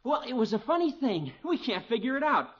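Earnest young radio husband, lively. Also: male voice, extremely high pitch, brisk, slight background noise. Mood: earnest, puzzled. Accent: American. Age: young adult. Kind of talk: 1950s radio comedy acting. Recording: muffled old radio broadcast.

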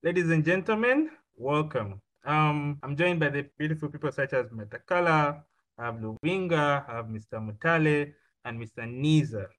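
A man's voice: fairly high pitch, expressive, moderate pace, a bit bright. A man hosting a podcast, introducing guests and asking questions in an upbeat, repetitive way. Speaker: podcast host.

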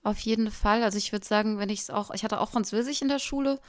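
A young woman co-hosting a podcast, talking in a reflective, casual way and pausing to search for her words. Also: medium pitch. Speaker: podcast co-host. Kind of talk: casual conversation.

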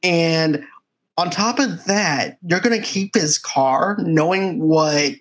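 A man's voice: on the high side, takes it slowly. A man co-hosting a movie review podcast, chatting casually and eagerly retelling scenes from the film. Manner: animated scene-by-scene retelling, quotes lines from the film.

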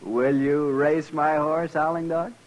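An actor playing a swaggering, mocking young army lieutenant in a 1950s radio western. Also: male voice, on the high side, level tone, slow pace. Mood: taunting. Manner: mocking, needling. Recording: vintage 1950s radio recording.

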